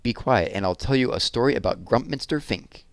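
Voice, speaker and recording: A man's voice: mid-range voice, brisk, vocal fry, no room sound, pitched above the performer's own voice, natural voice. A man voicing a grumpy, prickly young boy, an older brother in a children's storybook, with a blunt delivery. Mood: impatient.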